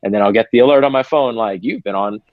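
A man's voice: fairly low pitch, fast talker, no room sound. A man from Seattle, a podcast guest talking candidly and reflectively, sometimes swearing in casual conversation. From Seattle, USA. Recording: dull, lo-fi remote audio.

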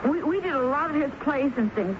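An actress playing a meek, guarded typist in a 1970s radio drama, speaking haltingly and timidly repeating words. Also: female voice, high-pitched, animated. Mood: nervous, modest. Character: meek, self-effacing female typist, guarded and nervous. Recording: muffled, noisy 1970s radio broadcast.

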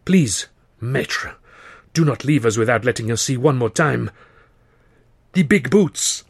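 A man's voice: mid-range voice, animated, a little slow, husky. A man voicing a flamboyant French stage actor in an audiobook, proclaiming in a theatrical, declamatory way. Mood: pleading.

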